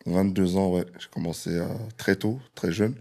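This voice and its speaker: A man from France, a guest DJ in an interview, relaxed and joking, with frequent fillers. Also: low-pitched, normal speed.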